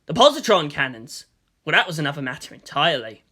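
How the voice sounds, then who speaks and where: High, bright-voiced man narrating dramatically. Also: very animated, quick pace. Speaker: story narrator. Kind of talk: dramatic audiobook narration of action fiction.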